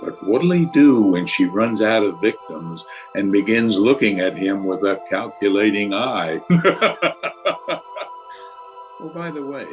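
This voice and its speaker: A ghoulish host of a radio horror show, played by a man, speaks in a low voice. He is wry, teasing and mock-sinister, with music playing faintly behind. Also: poor audio. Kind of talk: old-time radio horror hosting.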